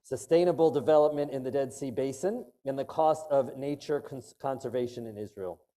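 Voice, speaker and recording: A man leading a synagogue holiday service, introducing a guest speaker at length in a measured way. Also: medium pitch, warm tone. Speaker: rabbi hosting a service discussion. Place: synagogue sanctuary.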